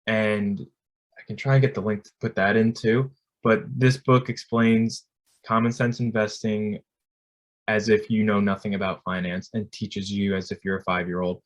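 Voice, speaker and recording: A young man in his twenties speaks low and fairly flat over a video call. He introduces himself in a casual, friendly way, dropping "uh"s as he goes, with little rise and fall in his voice. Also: moderate pace. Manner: casual and friendly, with frequent fillers. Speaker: graduate student panelist. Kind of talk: workshop self-introduction.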